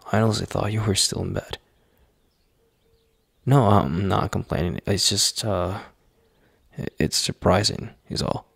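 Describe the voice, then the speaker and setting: Man acting a gravelly, hesitant young boyfriend. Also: fairly low pitch, no room sound, heavily acted. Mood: surprised. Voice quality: husky, gravelly. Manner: halting, hesitant, soft-spoken, sighing. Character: young boyfriend, anxious, tender, hesitant, easily exasperated. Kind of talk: boyfriend roleplay ASMR.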